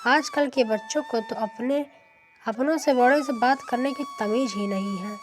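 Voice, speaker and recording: An outspoken old village woman acted by a female narrator in a cartoon moral tale. She chides with indignation in a fairly high voice, over clear music. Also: moderate pace, lightly acted. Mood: indignant. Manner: indignant, chiding. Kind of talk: Hindi cartoon moral story.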